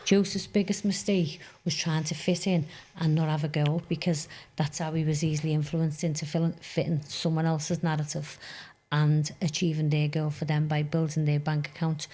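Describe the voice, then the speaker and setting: A woman's voice: deep voice, level tone, moderate pace, warm tone. A woman in her fifties, a youth mentor, talking earnestly and telling stories in a podcast conversation. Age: 50s. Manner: earnest, storytelling, emphatic about goals.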